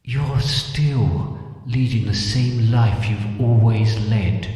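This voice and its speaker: A man playing the mournful ghost of a dead business partner in a comic pantomime, with slow, drawn-out, spooky wailing delivery. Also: medium pitch, mellow, very echoey, long reverb tail, soft background music, natural voice. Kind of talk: amateur comic pantomime acting.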